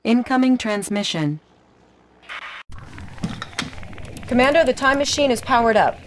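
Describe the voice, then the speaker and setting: An actress playing a composed military intelligence officer who gives crisp, earnest mission briefings in a video game. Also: female voice, a little high, expressive, fairly quick, slight background noise, close to an everyday voice, low-quality recording. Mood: urgent.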